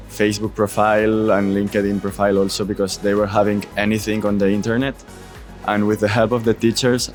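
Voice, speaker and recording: Low, flat-voiced man. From Spain. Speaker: project participant. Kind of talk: casual testimonial.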